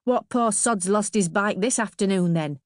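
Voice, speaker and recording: A woman voicing a shrill, furious working-class mother in an audiobook, confrontational, bellowing and sarcastic. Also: normal speed, dry recording, studio quality, natural voice.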